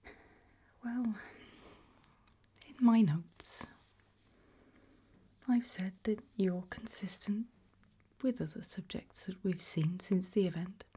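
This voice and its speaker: Slow, breathy British woman whispering. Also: medium pitch. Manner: slow, gentle, hesitant pauses. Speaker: ASMR roleplayer playing a doctor. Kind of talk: ASMR roleplay, soft whispering.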